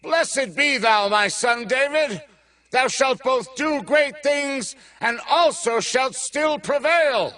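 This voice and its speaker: A man's voice: very high voice, slow, bright, forceful tone, heavily acted. A man playing a remorseful, emotional aging king in a dramatized scripture recording, with emphatic, impassioned delivery. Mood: fervent blessing. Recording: music behind the voice. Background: dramatic music.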